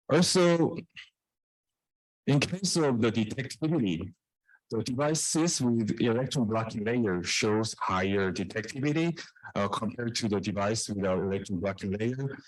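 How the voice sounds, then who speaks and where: A man presenting research in a technical scientific talk, with a halting, hesitant delivery that often repeats phrases. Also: lowish voice, no room sound, rough recording.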